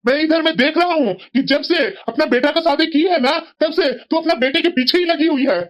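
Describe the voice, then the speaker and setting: A woman's voice: high-pitched, a little fast, lightly acted. A woman voicing a sensible middle-aged husband in a family moral-story cartoon, scolding and lecturing reproachfully.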